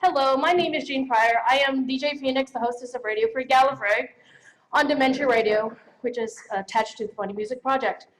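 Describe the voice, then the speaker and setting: A woman's voice: sits high, brisk, slight background noise, low-quality recording. A woman giving an informal, effusive acceptance speech at an awards event. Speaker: podcast host accepting an award.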